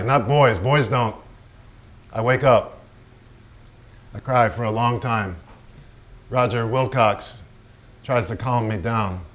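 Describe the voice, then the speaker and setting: A man's voice: medium pitch, a bit bright, close to an everyday voice. Actor as a brash, troubled male painter. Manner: profane, raw, halting. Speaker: stage actor. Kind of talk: theatrical staged reading, dramatic monologue.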